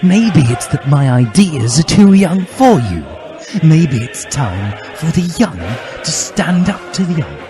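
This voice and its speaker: A man's voice: fairly high pitch, big pitch swings, slow pace, pitched above the performer's own voice. A man voicing a fervent, self-righteous teenage boy revolutionary, declaiming indignantly in a mock-dramatic story reading. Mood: rousing, defiant. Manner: bombastic, indignant, shouty declaiming. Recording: music behind the voice.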